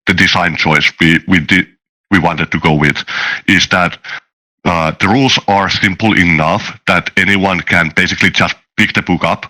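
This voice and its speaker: A man speaking hesitantly in an interview as a game master and designer, with searching pauses. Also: deep voice, pushed and bright, poor audio.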